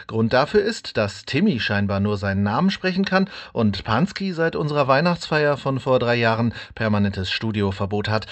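An actor playing an earnest, formal news reporter reading a scripted mock bulletin. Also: male voice, mid-range voice, animated, moderate pace, few pauses, close to an everyday voice. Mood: formal, deadpan.

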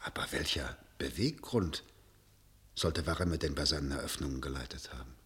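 An actor playing a skeptical, probing official in a German radio drama, formal and precise, questioning with pointed incredulity. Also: male voice, a bass voice, moderate pace, sharp tone.

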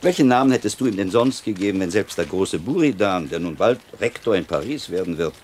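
A man's voice: deep voice, animated, a little fast, darkish tone. An actor playing a shrewd, learned monk in a radio drama, lecturing his pupil with wry, teasing self-assurance. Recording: clear ambient noise behind voice.

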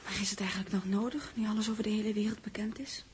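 An actress playing an anxious, deferential secretary in a 1960s radio drama, speaking in a hushed, hesitant way. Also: female voice, medium pitch, steady tone, fast talker, close to an everyday voice. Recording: noisy 1960s radio recording.

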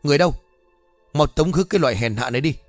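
A man voicing a spoiled, scheming young daughter who flatters and scolds. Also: normal speed, no echo, faint music behind, natural voice. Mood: scolding. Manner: sweet to her father, shrill when angry.

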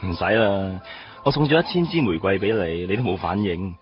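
A man playing a smooth-talking playboy suitor, coaxing and self-mocking in a radio drama. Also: deep voice, lively intonation, fairly quick, soft background music. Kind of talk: Cantonese radio drama acting. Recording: dull lo-fi radio recording.

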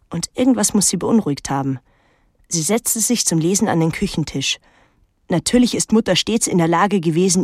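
An actress playing a reflective daughter who narrates a literary radio drama in a measured, introspective way. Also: female voice, fairly low pitch, darkish tone, no room sound, studio quality, natural voice. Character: reflective daughter recalling her reclusive painter mother.

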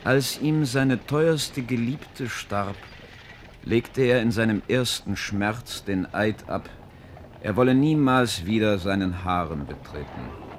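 Deliberate, formal royal adviser. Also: male voice, on the low side. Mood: dry storytelling. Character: shrewd, measured grand master, adviser to the king. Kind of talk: German radio play acting. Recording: 1970s radio drama, faint background noise.

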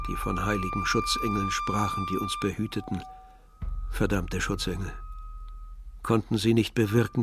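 An actor playing a bitter, brooding young man who narrates a literary radio play in a measured, reflective way, with a husky, gravelly voice. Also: lowish voice.

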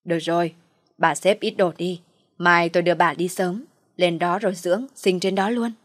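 A woman voicing a gruff, commanding middle-aged father, an irate head of the family in an audio story. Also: on the low side, fairly even intonation, normal speed, dry recording, studio quality, close to an everyday voice. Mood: brusque.

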